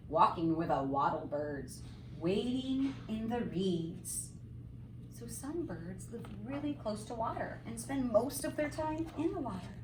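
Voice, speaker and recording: Woman reading to kids, slow and lively. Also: fairly high pitch. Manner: sing-song, emphatic phrasing addressed to young children. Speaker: teacher reading a storybook aloud. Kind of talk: expressive children's read-aloud with simple asides. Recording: roomy, distant mic, faint background noise.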